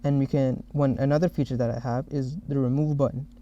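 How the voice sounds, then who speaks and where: Mellow-voiced man presenting a software demo, dull audio. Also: medium pitch, steady tone, fairly quick.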